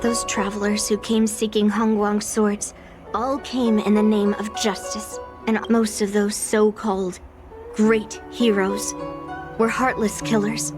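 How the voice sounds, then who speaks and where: A woman voicing an earnest, resolute young swordswoman in a video game, speaking solemnly and with dramatic pauses. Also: mid-range voice, little pitch movement, music in the background, natural voice.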